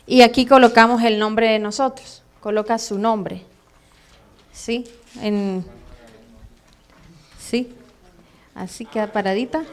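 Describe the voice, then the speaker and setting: A Venezuelan woman with a Venezuelan accent teaching a church training class live, chatty and hesitant with many fillers and soft laughs. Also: a little high. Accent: Venezuelan Spanish. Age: adult, has a son. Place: church meeting room.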